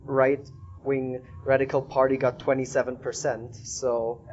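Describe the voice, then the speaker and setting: A man's voice: mid-range voice, level tone, unhurried, darkish tone. Male interviewer, conversational, slightly dull audio with faint noise. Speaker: podcast host and interviewer. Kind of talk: casual interview.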